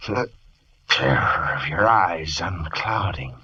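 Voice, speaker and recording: An actor playing a sinister, defiant villain with a curt, menacing, theatrical delivery in an old radio horror play. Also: male voice, extremely low pitch, expressive, takes it slowly, pushed and bright, full character voice. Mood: feverish triumph. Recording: muffled, noisy old radio recording.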